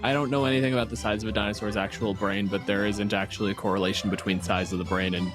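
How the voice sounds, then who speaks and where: Low-pitched man explaining things casually. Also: steady tone, quick pace, music in the background. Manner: explanatory, analytical. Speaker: podcast host. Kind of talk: casual panel discussion.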